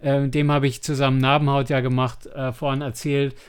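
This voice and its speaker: A man's voice: medium pitch, flat delivery, fairly quick, clear, resonant tone. A man co-hosting a podcast in casual chat, searching for his words as he goes. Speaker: podcast host. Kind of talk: casual chat about audio dramas.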